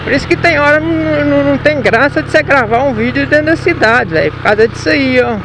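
A man's voice: very high voice, lively intonation, fast talker. A young man with a Minas Gerais Brazilian accent riding a motorcycle through city streets, talking casually to his viewers in slang and swearing at careless drivers. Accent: Brazilian Portuguese, Minas Gerais. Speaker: motovlogger. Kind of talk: casual running commentary while riding. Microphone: helmet or action camera mic. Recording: loud road and engine noise.

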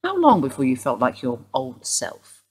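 A woman's voice: extremely low pitch, wildly swooping pitch, moderate pace, slightly rough, exaggerated voice. An actress playing a formal, probing female detective inspector in a radio play.

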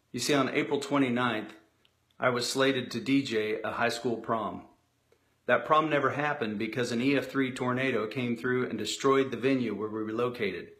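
A middle-aged man, a DJ, sharing an earnest story in a sincere, measured way over a webcam from his home office. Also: medium pitch, a bit bright.

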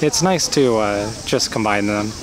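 A man's voice: lowish voice, measured pace, background noise. A young man in his twenties chatting in an easygoing way as a travel vlogger, talking to a camera held at arm's length on a riverbank outdoors. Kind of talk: casual chat.

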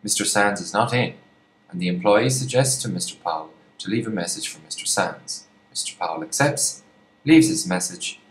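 A man's voice: lowish voice, expressive, normal speed. A man reading a scripted narration as the narrator of a lesson. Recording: echoey room, faint background noise.

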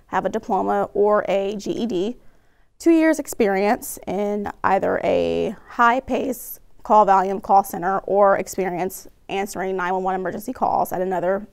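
A woman's voice: mid-range voice, vocal fry, darkish tone. An American woman in her thirties explaining her dispatcher job in a studio interview. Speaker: 911 dispatcher, interview guest. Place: indoor TV studio at a desk.

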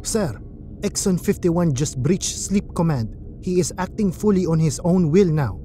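A woman's voice: on the low side, expressive, a little slow, mellow, faint music behind. A woman voicing an anxious male scientist in a science fiction story, reporting uneasily and deferentially to a superior. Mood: alarmed.

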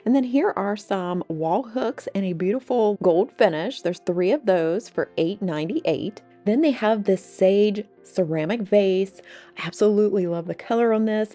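A woman with a mellow, warm voice narrates a shopping walkthrough, upbeat and gushing over each find. She speaks close to the mic in a recorded voice-over, with soft music playing faintly underneath. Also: mid-range voice, a little slow. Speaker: home decor channel host.

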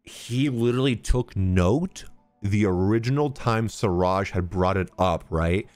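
A man's voice: on the low side, unhurried, darkish tone, no room sound. A young man reacting casually to a game on stream, slangy and exclamatory. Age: young adult. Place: small indoor streaming room. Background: game music.

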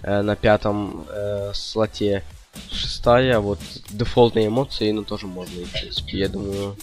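A man's voice: lowish voice, fairly even intonation, moderate pace, soft background music. A young man giving casual gameplay commentary, rambling indecisively and slangily to his viewers. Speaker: gaming video host.

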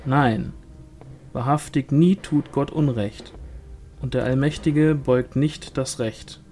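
A man's voice: mid-range voice, takes it slowly, mellow. A man reading literary narration from a novel as an audiobook. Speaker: audiobook reader. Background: music.

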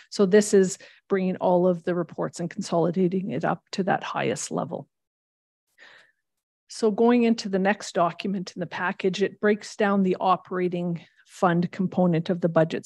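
Woman finance presenter, low and mellow, measured and explanatory, dry table-mic sound. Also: dry recording. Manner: measured, explanatory, reads long figures. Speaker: municipal finance staff presenting a budget. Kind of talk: formal budget presentation. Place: large council chamber.

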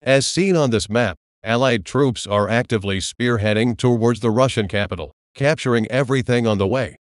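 A man's voice: medium pitch, normal speed, dry recording. Dry, close-sounding male news presenter. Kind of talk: scripted news report.

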